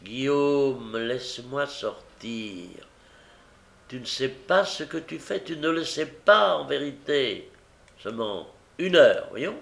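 A man voicing a stubborn, desperate young woman who pleads insistently and haltingly in a story reading. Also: fairly high pitch, lively intonation, gravelly, a bit bright, roomy sound, voice pushed up, full character voice. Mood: desperate pleading.

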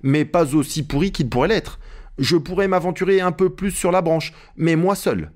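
A man's voice: a little high, normal speed, darkish tone, voice pushed up, natural voice. A man voicing a timid, naive, deferential male servant calling out plaintively in a storybook. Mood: deferential, worried.

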